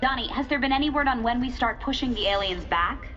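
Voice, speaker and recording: An actress playing an eager, perky TV reporter in a video game, speaking in broadcast news style. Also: female voice, on the high side, animated, quick pace, fairly bright tone. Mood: perky, probing. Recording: dull broadcast audio under music.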